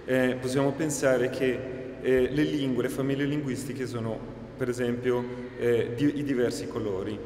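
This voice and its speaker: A man's voice: mid-range voice, darkish tone. A man with an Italian accent giving a reflective, unscripted explanation as a language specialist. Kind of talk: reflective spoken answer. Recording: echoey hall, faint background noise.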